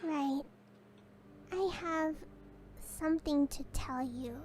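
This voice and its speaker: A woman voicing a sweet, earnest schoolgirl science prodigy in a visual novel, speaking softly and hesitantly. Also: high-pitched, takes it slowly. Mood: hesitant, subdued. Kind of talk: anime-style visual novel voice acting.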